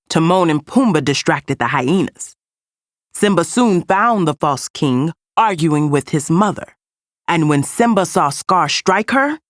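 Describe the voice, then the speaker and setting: Low-voiced woman narrating a children's storybook. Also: dry recording, clean studio sound. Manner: expressive storytelling with character voices. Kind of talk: scripted children's read-along narration.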